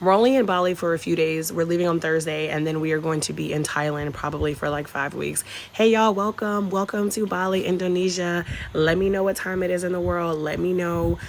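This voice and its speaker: A woman's voice: lowish voice, flat delivery, a little fast, hardly any pauses. Young woman, Southern accent, casual live-stream chat, phone mic, outdoor patio, faint noise. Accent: Southern American. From North Carolina, USA. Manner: chatty, greets viewers, Southern slang. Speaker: travel vlogger live-streaming.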